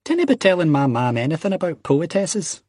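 A man's voice: medium pitch, sing-song, moderate pace, slightly rough, no room sound, studio quality, pitched above the performer's own voice. A man voicing an earnest, cheeky young Scottish man in an audiobook, blunt and insistent. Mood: indignant banter.

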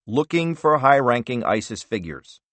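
A man's voice: medium pitch, sing-song, moderate pace, fairly bright tone, dry recording, voice pushed up. A man voicing a weary spy agency director in his late fifties who picks his words carefully. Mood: guarded. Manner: careful, restrained, frustrated.

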